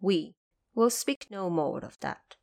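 A woman's voice: lowish voice, lively intonation, slow pace, vocal fry, darkish tone. A woman reading scripted narration of a classic fantasy tale for an audio drama. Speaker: storyteller.

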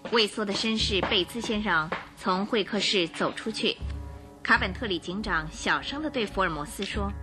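A woman narrating a radio drama in an expressive, suspenseful storytelling manner. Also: medium pitch, moderate pace, music in the background.

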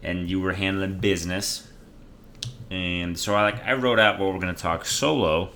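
A man's voice: sits low, steady tone, moderate pace, bright, forceful tone, slight background noise. A man co-hosting a podcast, trading dry, teasing banter in a casual chat. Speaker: podcast co-host.

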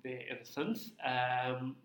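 A man with a South African accent, an interview guest telling his story casually in an informal, halting way, in a roomy recording. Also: medium pitch, steady tone, a bit bright. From Cape Town, South Africa. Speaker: interview guest, tax practitioner. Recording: roomy, echoey sound.

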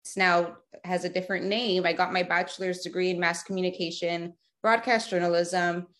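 This is a chatty woman from South Texas, in her thirties, guesting on a podcast. Her voice sits a little low for a woman. She talks loosely, restarting her sentences and padding them with fillers. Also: level tone, moderate pace, fairly bright tone. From Rio Grande Valley, South Texas. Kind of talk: casual remote interview.

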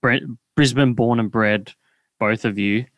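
A man hosting a casual podcast interview, asking relaxed, conversational questions. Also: medium pitch, unhurried, no echo, lo-fi sound. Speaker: podcast host.

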